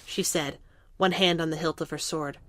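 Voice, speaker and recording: Lower-pitched female story narrator. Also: steady tone, moderate pace, a little hiss or hum. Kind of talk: scripted story narration for an audio drama.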